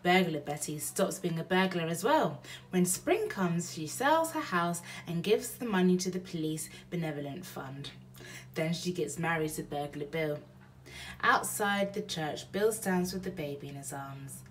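Animated young woman reading a bedtime story. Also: on the low side, a little slow, a little hiss or hum, low-quality recording. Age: young adult. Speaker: storyteller mother. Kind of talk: children's bedtime story read aloud.

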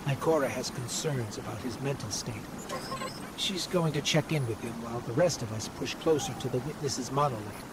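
An actor voicing an earnest, caring robotic companion drone in a video game, giving a measured, concerned story briefing. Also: male voice, mid-range voice, very noisy, lightly acted. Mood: concerned, sincere. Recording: voice amid loud outdoor ambience.

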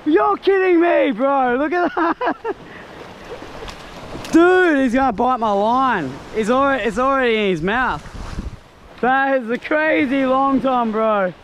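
A young man narrates his own fishing trip, exclaiming at every big fish. His voice sits high and the talk runs slow between bursts. The sound is raw action-camera audio full of wind noise on an open beach. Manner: excitable, exclaiming, laughing often. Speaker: fishing vlogger. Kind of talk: excited fishing commentary. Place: tropical beach outdoors. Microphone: head-mounted action camera.

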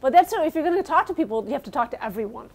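A woman's voice: sits high, animated, very fast. A middle-aged woman guest consultant giving practical advice in a casual studio interview. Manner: warm agreeing, laughs easily, practical advice. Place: small studio set, seated on a sofa.

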